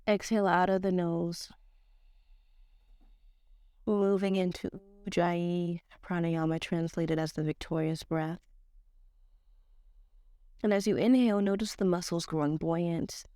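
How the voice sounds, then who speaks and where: A woman with a stuffed-up, slightly nasal voice, leading a slow guided yoga class in a casual, slangy way. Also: lowish voice, clear, resonant tone, dry recording, studio quality. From Nigerian-American. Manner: casual slangy teacher, slow calm cues. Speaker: yoga teacher.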